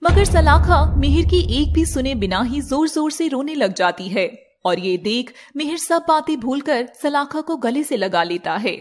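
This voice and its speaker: High-pitched woman storyteller. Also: lively intonation, a little slow, few pauses, a little hiss or hum. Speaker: narrator. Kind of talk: dramatic scripted fairy-tale narration.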